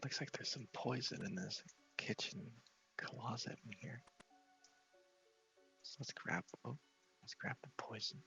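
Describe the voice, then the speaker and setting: A man's voice: mid-range voice, measured pace, creaky, pauses often, bright, forceful tone, lo-fi sound, faint music behind. A man giving casual ASMR gameplay commentary, often whispering as he thinks aloud. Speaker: game streamer.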